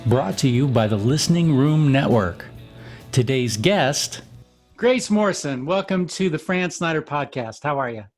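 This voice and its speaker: A man's voice: a little high, lively intonation, unhurried, faint music behind. A man hosting a podcast, asking questions in a friendly, rambling way with many restarts. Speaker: podcast host. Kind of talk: casual interview hosting. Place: Florida.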